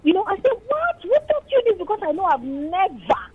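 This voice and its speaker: Brief: Nigerian woman radio caller, high voice, storytelling, dull lo-fi line. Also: sing-song, a little fast, faint music behind. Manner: chatty, storytelling, exclaims. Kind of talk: casual phone-in chat.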